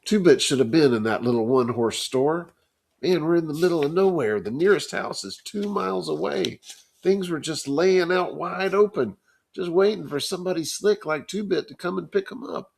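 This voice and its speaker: A frightened, gentle teenage boy from a storybook reading speaks up high and shaky, as a man puts him on. His words come out pleading and earnest. He sounds young and soft-hearted. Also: expressive, moderate pace, gravelly. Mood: eager. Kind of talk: reading a novel aloud.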